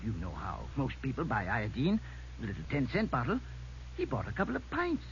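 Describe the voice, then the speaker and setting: A mild little druggist in a 1950s radio crime drama. He talks in a folksy, obliging way at a medium pitch. The old recording sounds dull, with a faint hum. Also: wildly swooping pitch, fairly quick. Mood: helpful, recollecting. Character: mild little neighborhood druggist, helpful and chatty.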